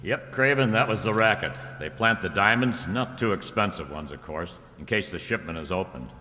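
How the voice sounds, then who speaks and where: A man's voice: deep voice, lively intonation, moderate pace, sharp tone, a little hiss or hum. A man acting a wry, tough private detective in an old-time radio drama, with a sardonic, wisecracking delivery. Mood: explaining. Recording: hall-like reverberant radio play recording.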